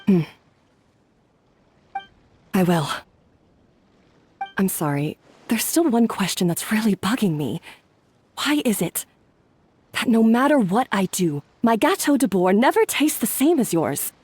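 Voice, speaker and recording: An actress playing an insecure young head chef who talks in a subdued, hesitant way in a game cutscene. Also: female voice, medium pitch, big pitch swings, a little slow, long pauses, a little mellow, husky. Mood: downcast. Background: faint game music.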